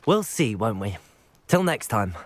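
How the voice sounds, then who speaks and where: A man's voice: on the low side, very animated, a little slow. A young man with a British accent playing a plucky, good-natured teenage wizard student in a video game, upbeat and polite. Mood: pleased.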